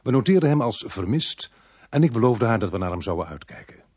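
An actor playing a sceptical, world-weary police inspector in a Dutch radio play, dry and sardonic. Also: male voice, medium pitch, big pitch swings, brisk, a little mellow, husky. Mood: reflective narration.

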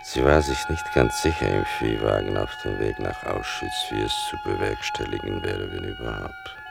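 An actor playing a grown son who narrates his mother's past in a radio play, slowly and intimately, with a gravelly voice. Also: wildly swooping pitch, exaggerated voice. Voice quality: very deep, gravelly. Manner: slow, deliberate, intimate. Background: music.

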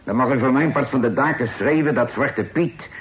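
An actor in a radio play voicing a proud sailor turned outlaw, speaking in a low, earnest and emphatic way. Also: male voice, moderate pace, muffled recording, natural voice. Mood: defiant.

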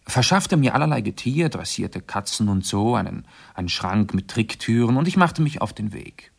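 An actor playing an urbane, self-assured aristocratic amateur sleuth in a German radio play, explaining precisely and theatrically. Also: male voice, on the low side, animated, moderate pace, creaky, breathy edge, exaggerated voice. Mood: composed, satisfied.

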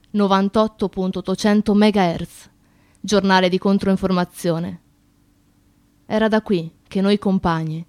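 An actress playing a nostalgic former activist returning home, in a reflective radio theatre monologue. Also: female voice, mid-range voice, darkish tone, no room sound, clean studio sound, lightly acted.

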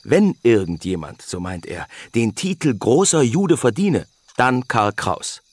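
An actor playing a wry, gossipy educated gentleman with a husky voice, savoring his words in a radio drama. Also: medium pitch, big pitch swings, a little slow, no room sound. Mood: wry relish.

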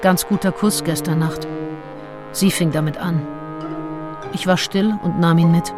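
An actress playing a self-absorbed, unsentimental 19th-century gentlewoman who reads from her diary in a radio drama. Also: on the low side, steady tone, measured pace, over music, natural voice. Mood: detached, dry. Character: Anne Lister, self-absorbed, coolly candid 19th-century English gentlewoman diarist.

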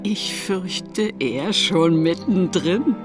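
Slow, wry, lowish-voiced woman. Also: animated, over music. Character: wry female colleague drawn into collective madness. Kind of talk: German radio play acting.

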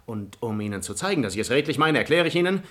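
A smooth aristocratic seducer in a radio play. His mid-pitched voice is declamatory and flowery, pouring out ardour with false sincerity and turning imperious when crossed. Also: very animated, fast, a bit bright. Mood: earnest wooing. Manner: flowery, silver-tongued, theatrical. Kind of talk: classic comedy radio play acting, declamatory.

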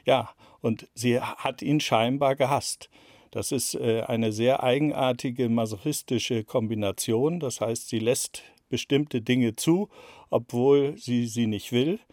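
A man giving analytical interview answers as an expert, deliberate and explanatory, stressing key words. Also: mid-range voice, a little mellow, no echo, clean studio sound. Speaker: interviewed expert on a criminal case.

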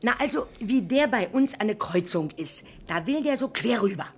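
An actress playing an excitable, nosy working-class woman with a Berlin accent in a 1970s radio crime drama, garrulous and colloquial. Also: medium pitch, moderate pace, a little hiss or hum, close to an everyday voice. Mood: chatty, self-important. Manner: garrulous, colloquial, breathlessly stammering in agitation.

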